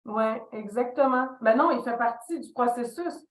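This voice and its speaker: A woman's voice: fairly high pitch, moderate pace. A middle-aged woman with a Québécois French accent, a guest telling stories about her career and acting out remembered dialogue. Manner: animated storytelling, acts out quoted dialogue. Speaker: podcast guest, leadership consultant. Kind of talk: reflective interview talk. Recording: echoey room.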